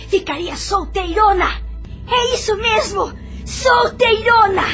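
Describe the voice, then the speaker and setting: A woman's voice: very high voice, heavily acted. An actress playing a bitter, jealous young woman in an audio novel, accusing and scornful in a melodramatic way.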